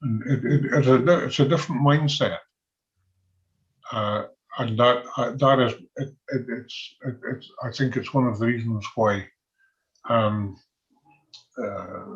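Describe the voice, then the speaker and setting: Older Scottish male, ex-soldier historian, halting conversational delivery, average pitch, muffled lo-fi sound. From Scotland. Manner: halting, conversational, chuckles often. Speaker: military historian and former soldier. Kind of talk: informal talk on military history. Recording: dull, lo-fi audio.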